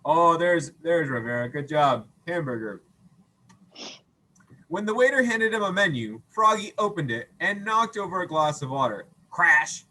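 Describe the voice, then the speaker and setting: Male read-aloud teacher, playful, high-pitched, very animated, bright voice, expressive storytelling. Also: a little slow, low-quality recording. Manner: playful, expressive, acts out sound effects. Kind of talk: animated children's story reading with questions.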